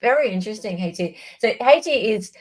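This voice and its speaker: Woman hosting a live stream, just chatting casually, medium pitch. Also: lively intonation, fairly quick, low-quality recording. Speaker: livestream host. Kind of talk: casual livestream chat.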